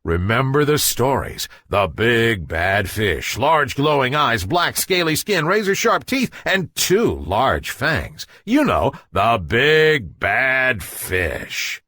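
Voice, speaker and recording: Male children's storyteller, husky, dramatic and unhurried, with huge pitch swings and a dry sound. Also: big pitch swings, pushed and bright, dry recording. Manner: dramatic, builds suspense. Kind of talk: expressive scripted bedtime story narration.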